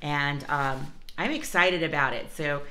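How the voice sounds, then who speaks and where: Woman, low voice with a bright edge, a bit hoarse from a cold; chatty vlog host talking to camera. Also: unhurried, slight background noise. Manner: chatty, talks straight to viewers with asides. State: hoarse from a cold, losing her voice. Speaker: vlogger showing a grocery haul. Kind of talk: casual chatty vlog talk.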